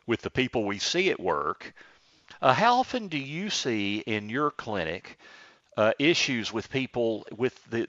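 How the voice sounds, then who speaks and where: Radio host, man in his fifties, low voice, dry studio sound, easygoing talker. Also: no room sound, dull audio. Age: 50s. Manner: relaxed conversational, frequent filler words. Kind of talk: radio talk show conversation. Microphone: studio microphone.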